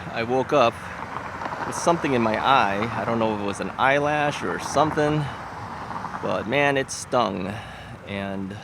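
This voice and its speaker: An older man vlogging casually about his e-bike, rambling and chatty with fillers and soft laughs, sniffling from a stuffy nose. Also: on the low side. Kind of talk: casual vlog chat. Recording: outdoor recording with loud traffic noise. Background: loud traffic and street noise.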